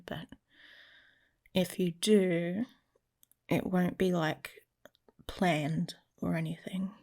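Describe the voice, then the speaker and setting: An actress voices a devious, emotionally guarded young she-wolf in an intimate audio roleplay. Her delivery is unhurried and casual, with a wry edge. The voice is husky and breathy, full of soft creak, and often slips into a whisper. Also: on the low side, heavily acted. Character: guarded, teasing young female werewolf, devious and prickly. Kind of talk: intimate ASMR roleplay acting.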